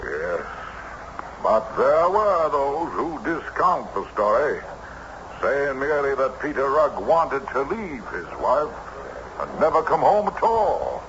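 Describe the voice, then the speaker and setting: A period gentleman spins an eerie tale in an old-time radio drama. He speaks slowly and formally, with theatrical swings of pitch and plenty of suspense. The recording is noisy and muffled. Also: very animated. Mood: measured.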